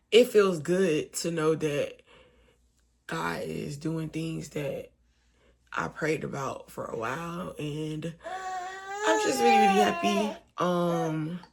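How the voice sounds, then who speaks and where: A young woman vlogging late at night with a hoarse, fading voice, talking softly and partly in a whisper. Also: sits low, big pitch swings, slow, long pauses. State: hoarse, voice almost gone. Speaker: mom vlogger. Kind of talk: casual talk to camera. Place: home at night, lying down with her baby.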